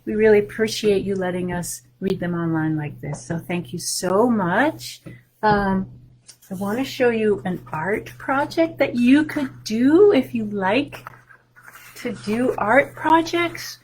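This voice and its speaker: A woman in her fifties, a children's librarian leading a storytime at home, reading and singing with animated, clear delivery over a webcam. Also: medium pitch, a little hiss or hum. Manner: animated, sing-song, slow and clear. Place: home room with bookshelves. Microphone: webcam on a video call.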